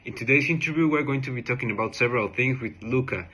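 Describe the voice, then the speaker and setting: A man's voice: mid-range voice, quick pace, bright, forceful tone, slightly rough. A man presenting as a show host, introducing an interview in an emphatic, announcer-like way.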